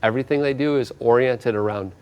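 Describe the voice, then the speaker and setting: Confident male doctor making his pitch. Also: fast, a little mellow, clear, resonant tone. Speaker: doctor, interview guest. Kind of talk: interview answers.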